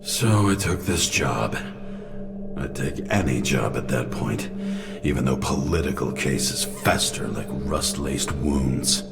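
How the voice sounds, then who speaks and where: An actor playing a world-weary, cynical detective who narrates his thoughts in a sardonic, brooding hardboiled noir style with a gravelly voice. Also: very deep voice, lively intonation, takes it slowly, full character voice. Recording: music bed under the voice.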